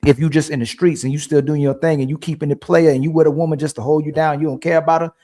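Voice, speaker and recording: Animated man cutting in on a debate. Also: on the high side, racing. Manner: animated, repeats names to cut in. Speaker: podcast host. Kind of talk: heated casual debate.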